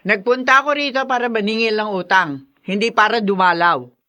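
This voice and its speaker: A woman's voice: medium pitch, brisk, pushed and bright, lightly acted. An actress in a Tagalog radio drama playing a hard, grasping woman who scolds and demands money. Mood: curt, demanding. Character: hard, grasping mother-in-law type demanding debt repayment.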